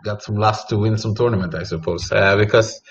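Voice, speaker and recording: A man with a slightly higher-than-average voice gives conversational chess analysis as a guest commentator. He thinks aloud hesitantly, and his audio is a little dull and lo-fi. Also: steady tone, brisk, slightly rough. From Sweden, originally Colombia.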